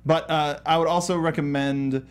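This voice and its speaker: A man's voice: fairly high pitch, steady tone, normal speed. A man co-hosting a casual chat about video games, with an easygoing, encouraging way of talking. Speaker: talk show host.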